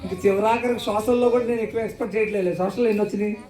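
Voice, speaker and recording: A man's voice: sits high, fairly even intonation, racing, darkish tone. A man hosting a live children's puppet show, exclaiming, questioning and echoing replies back. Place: indoor church hall. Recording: echoey hall, distant from microphone.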